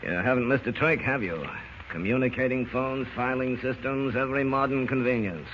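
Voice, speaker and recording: A man plays a wary hired crook from a vintage radio serial. He talks in a blunt, clipped, sceptical way at an ordinary male pitch. The sound is muffled old radio with a layer of noise. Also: moderate pace, natural voice. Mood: dryly impressed. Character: hard, shrewd American criminal, wary hired schemer. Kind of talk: 1940s radio acting. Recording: scratchy, muffled old-time radio recording.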